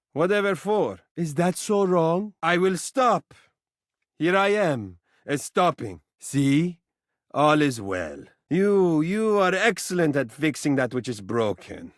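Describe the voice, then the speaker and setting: A living mirror from a video game, a fussy and doting male lover with a very high opinion of himself. His voice sits slightly high and moves in big, expressive swings. He speaks in flowery, melodramatic phrases, coaxing and pompous, in a dry, close recording. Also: no room sound. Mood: sulky, evasive. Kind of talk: theatrical character dialogue for a video game.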